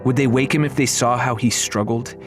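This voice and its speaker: A man reading a children's fantasy novel as an audiobook narrator, in expressive, dramatic storytelling style. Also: mid-range voice, a little fast, music in the background.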